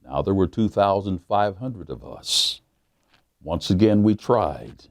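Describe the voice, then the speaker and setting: A man, a pastor, reading a children's book aloud in a measured, emphatic way with dramatic pauses. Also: sits low, lively intonation, vocal fry, no echo, clean studio sound. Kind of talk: storybook read-aloud for children.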